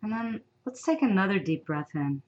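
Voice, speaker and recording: A woman leading a guided meditation in a soothing, unhurried manner, with long pauses between phrases. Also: medium pitch, expressive, a little mellow, lo-fi sound, slight background noise.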